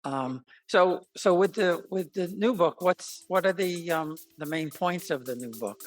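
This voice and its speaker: A man interviewing guests in an easygoing way, hesitating often and laughing lightly. His voice is a touch higher than most men's. The sound is dry and close to the mic. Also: unhurried, dry recording, faint music behind. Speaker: podcast host. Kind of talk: casual interview chat.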